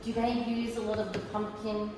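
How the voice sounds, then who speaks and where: A woman hosting a cooking show, chatty with quick short replies to her guest. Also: mid-range voice, completely flat delivery, noisy background. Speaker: host. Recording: echoey, far from the mic, music behind.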